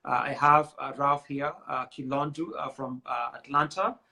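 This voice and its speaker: A man's voice: mid-range voice, steady tone, moderate pace, sharp tone. A man hosting a casual live-stream chat, greeting viewers and talking with many filler sounds. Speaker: show host.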